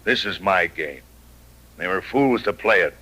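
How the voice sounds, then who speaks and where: A cold, self-assured western lawman speaks with a forceful edge. His lines are terse and deliberate, full of menace, and the pitch sits a little low. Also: animated, exaggerated voice, poor audio. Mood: cold warning. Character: cold, self-assured male gunfighter sheriff, hired killer. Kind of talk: 1950s radio western acting.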